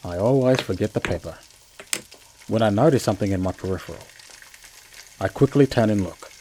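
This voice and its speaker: A low-voiced man played by an actor narrates his own eerie tale for a radio play. He is chatty and bewildered, with theatrical pauses and conversational asides. Faint noise runs behind the voice. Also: lightly acted. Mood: wry, relaxed. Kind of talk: first-person scripted story narration, radio play.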